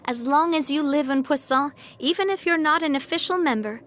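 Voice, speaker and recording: High-pitched, upbeat young lady boss. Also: a little fast, fairly bright tone, slight background noise. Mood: cheerful, reassuring. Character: upbeat young woman boss of a protective guild. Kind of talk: English game voice acting.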